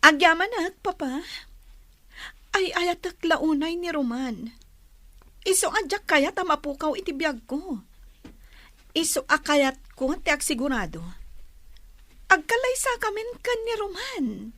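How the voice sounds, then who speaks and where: A woman playing a lovestruck, spoiled young daughter in an Ilocano radio drama, pleading with her father in an emotional, drawn-out way. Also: very high voice, lively intonation, fairly bright tone, no room sound, exaggerated voice. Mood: earnest, lovestruck.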